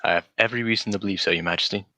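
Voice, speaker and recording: Man playing a shrewd gentleman detective, low bright voice, polite, assured, old-time radio drama. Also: fairly even intonation, racing. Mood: courteous. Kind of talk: 1940s radio drama acting.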